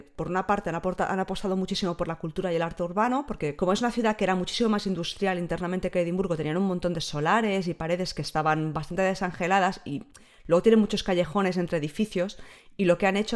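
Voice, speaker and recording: A woman's voice: lowish voice, fast talker. A woman in her thirties, a travel blogger guest, chatting casually and with emphasis. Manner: lively, emphatic, talks at length.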